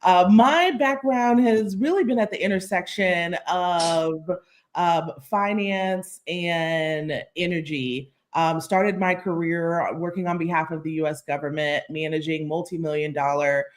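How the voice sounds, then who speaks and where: A woman's voice: fairly low pitch, unhurried. Woman panelist introducing her work. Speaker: panelist, climate finance leader.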